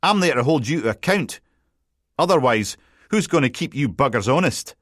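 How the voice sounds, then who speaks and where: A man's voice: mid-range voice, expressive, moderate pace, fairly bright tone, no echo, clean studio sound, pitched above the performer's own voice. A man voicing a cocky, needling Scottish newspaper editor in an audiobook. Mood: smug teasing. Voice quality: brash, needling.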